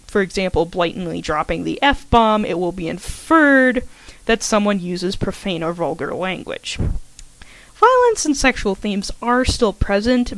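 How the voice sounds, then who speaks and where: A woman's voice: mid-range voice, no echo, poor audio. A woman giving an informal scripted introduction to an audio story, chatty and full of self-aware little asides. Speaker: fan fiction author and podfic narrator.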